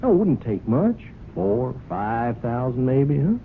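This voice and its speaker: A man's voice: medium pitch, very animated, slow, gravelly, exaggerated voice. Through a noisy, narrow-band old radio broadcast comes a sly American ranch hand. His folksy talk swings up and down as he needles with mock politeness, a small-time blackmailer in a radio play. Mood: insinuating, coaxing. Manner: drawling folksy speech, insinuating, mock-polite. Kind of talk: radio drama acting.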